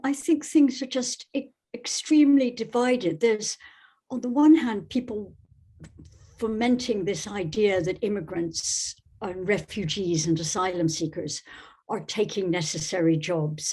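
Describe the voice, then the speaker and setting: A woman's voice: mid-range voice, measured pace, mellow, slightly rough. Thoughtful woman guest from Scotland, hesitant delivery. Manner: hesitant, searching for words. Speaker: podcast guest, novelist. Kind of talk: thoughtful interview conversation.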